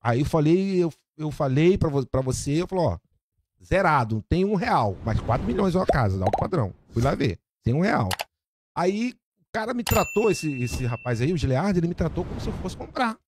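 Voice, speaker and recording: A man from Brazil, a barber and podcast guest, telling his story in lively casual chat, repeating words and acting out quoted dialogue. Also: fairly high pitch, brisk, a little hiss or hum.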